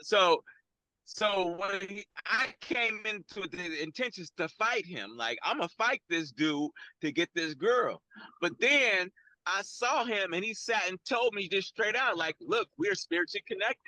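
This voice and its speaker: A man on a video call telling a personal story in a lively, defensive, laughing way. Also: high-pitched, measured pace, dry recording, poor audio. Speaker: guest.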